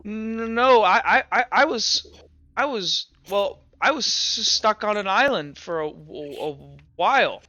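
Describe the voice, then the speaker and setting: Stammering teenage boy castaway, acted by a game master, awkward and uneasy, bright voice, dull close-miked sound. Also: mid-range voice, expressive, measured pace, dry recording. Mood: hesitant, wistful.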